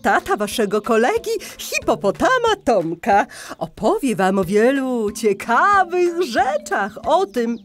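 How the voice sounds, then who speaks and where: A woman's voice: on the high side, sing-song, slow, faint music behind. A woman voicing a cheerful, encouraging animal schoolteacher who talks to small children in a sing-song way. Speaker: teacher. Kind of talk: children's audio play acting.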